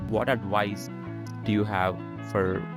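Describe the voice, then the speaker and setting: Lower-voiced male podcast host over guitar music. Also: flat delivery, takes it slowly. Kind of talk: conversational podcast interview.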